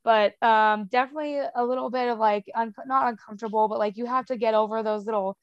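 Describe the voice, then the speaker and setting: A young woman speaks casually as a podcast guest, with a clear stutter that repeats sounds and blocks on words. She talks frankly and chattily, full of fillers, in a fairly high voice. The recording is dry and close. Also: steady tone, fast talker, dry recording, dull audio. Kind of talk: casual conversational interview.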